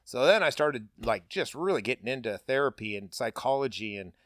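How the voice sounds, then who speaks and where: A man's voice: mid-range voice, lively intonation, brisk, a bit bright, no echo, clean studio sound. A middle-aged man, a paving business owner, talking candidly and bluntly with lots of casual fillers. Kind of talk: candid interview talk.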